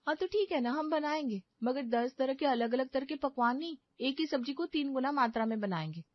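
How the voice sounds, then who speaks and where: A woman's voice: high voice, expressive, a little fast, no room sound, muffled recording, voice pushed up. A woman voicing a young daughter-in-law in a cartoon story, polite and crafty with some exasperation. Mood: plotting. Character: determined, coaxing young Indian daughter-in-law. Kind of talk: cartoon moral story acting.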